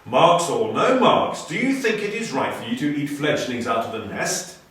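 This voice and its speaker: Man reading as a plucky young mongoose, lively pitch. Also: moderate pace. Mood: indignant. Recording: very echoey room, distant, faint noise.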